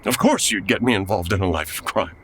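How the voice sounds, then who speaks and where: A woman's voice: extremely low pitch, lively intonation, fairly quick. A woman playing an exasperated, anxious 1940s radio announcer man in a comedy audio drama, grumbling and dramatic. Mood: exasperated, bewildered. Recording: clear background noise.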